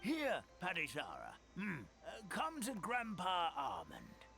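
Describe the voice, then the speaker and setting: Creaky, animated old grandfather. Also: sits high, slow pace, faint music behind, exaggerated voice. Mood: warm, nostalgic. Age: older. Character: kindly excitable old grandfather villager. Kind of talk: video game character acting.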